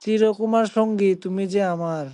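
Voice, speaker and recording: A man's voice: high voice, steady tone, normal speed, dark tone, dry recording, clean studio sound. A young man chatting casually on a phone call, brusque and teasing, given to exclamations. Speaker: online video creator taking a call.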